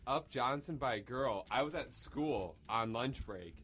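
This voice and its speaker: A man's voice: medium pitch, lively intonation, unhurried, fairly bright tone. A man in his twenties chatting casually on a radio panel. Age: 20s. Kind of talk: casual chat.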